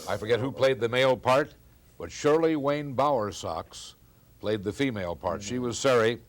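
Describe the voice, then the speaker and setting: A man's voice: mid-range voice, moderate pace. An elderly man, a veteran radio broadcaster, telling unscripted stories among old colleagues.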